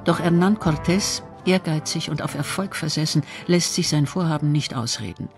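A man narrates a documentary from a script in a notably high voice. Music runs behind his narration. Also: normal speed, gravelly.

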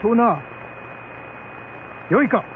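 A man acts a stern shogun in a radio drama, pitched strikingly high. He commands in lordly, archaic phrases. The sound is thin and phone-like, with noise behind it. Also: animated, very slow, full character voice. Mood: stern, commanding. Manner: imperious, archaic lordly speech. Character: stern shogun handing down a harsh judgment. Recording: muffled narrowband radio with background noise.

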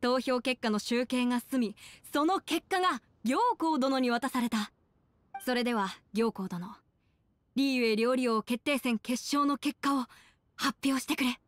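A woman's voice: high-pitched, unhurried, no room sound. A woman voicing a poised young female contest host in an anime game, making formal, composed announcements. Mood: formal, upbeat. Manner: crisp, composed, official.

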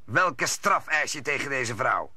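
A man's voice: mid-range voice, lively intonation, a little slow, bright, forceful tone, slightly rough, dry recording, heavily acted. An actor playing a stern, wronged nobleman in a radio play, speaking with grave, accusing formality. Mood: grave, bitter. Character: stern, wronged nobleman husband pronouncing judgment. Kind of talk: Dutch radio play acting.

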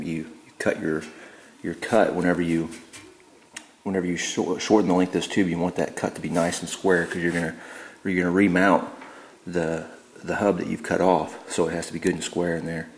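A very deep-voiced man talks in a low, even monotone as he walks through his handiwork. He speaks plainly and fills his pauses with ums and uhs. The sound bounces around an echoey garage, with a faint hum of room noise behind him. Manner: relaxed and plain-spoken, many ums and uhs. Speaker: DIY builder showing his work. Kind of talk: casual how-to walkthrough. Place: indoor garage.

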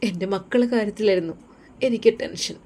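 A woman voicing a gentle, caring husband who speaks softly and tenderly to his wife. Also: medium pitch, quick pace, close to an everyday voice. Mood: soothing. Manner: soft, tender, reassuring.

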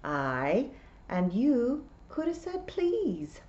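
A woman's voice: fairly high pitch, wildly swooping pitch, drawn-out words, darkish tone, pitched above the performer's own voice, exaggerated voice. A woman voicing a proud, prickly ninety-six-year-old male pixie piper who scolds emphatically in dialect, on a slightly dull recording. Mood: stern, offended.

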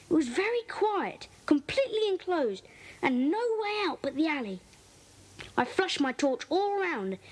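Eager, high-voiced English schoolboy. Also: female voice. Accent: British. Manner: earnest, detailed storytelling. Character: schoolboy Colin, eager earnest young amateur detective. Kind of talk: children's audio drama acting.

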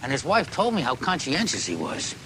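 A man acts out a rumpled, deferential detective who keeps pressing his suspect. His voice is keen-edged and swings widely in pitch as he muses in short, folksy phrases. It is dramatic dialogue with clear background noise. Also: wildly swooping pitch, bright, forceful tone, poor audio. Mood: animated, musing. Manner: halting, musing, folksy delivery in short phrases. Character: dogged, deferential, rumpled male police detective closing in on a killer.

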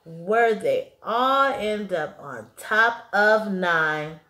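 Young woman, teacher reading to children, lively swooping intonation, unhurried, emphatic, echoey small room. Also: medium pitch. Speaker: teacher reading a picture book aloud. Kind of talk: expressive children's storybook read-aloud. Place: small classroom-like room, indoors.

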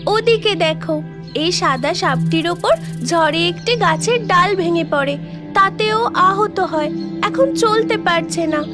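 A woman voicing a kindly magical mermaid with a gentle, earnest manner in a fairy tale cartoon. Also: very high voice, a little slow, over music. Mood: earnest request. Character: kindly, helpful three-headed magical mermaid.

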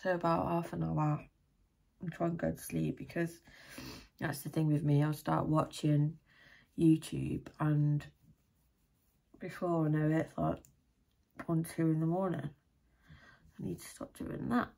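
A British woman in her thirties with a deep, croaky, tired voice, chatting casually and thinking aloud to camera as she vlogs at home. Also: flat delivery, measured pace, pauses often, warm tone. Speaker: vlogger. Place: small room at home, indoors.

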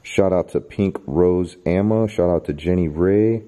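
Deep-voiced man vlogging in the dark. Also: a little slow. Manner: chatty, reading off names, dropping to a whisper in the dark. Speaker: YouTube host. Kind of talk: casual vlog narration. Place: dark abandoned stadium at night, indoors. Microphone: handheld camera held close.

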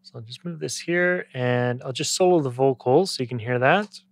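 Man in his thirties, mid-pitched voice, conversational step-by-step instructor, dry close recording. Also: normal speed, vocal fry, no echo. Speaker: music production tutorial instructor. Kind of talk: step-by-step software tutorial, conversational.

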